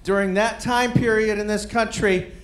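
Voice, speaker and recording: A middle-aged man doing a stand-up comedy set on a club stage, deadpan and self-deprecating, with rambling asides. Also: sits high, normal speed. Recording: roomy live club with crowd noise. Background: audience murmur and laughter.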